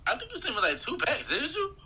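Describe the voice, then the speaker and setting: A man's voice: very high-pitched, huge pitch swings, fast talker, pushed and bright. A man from Philadelphia chatting casually and slangily as a podcast guest. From Philadelphia, United States. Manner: slangy, informal. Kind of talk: casual chat.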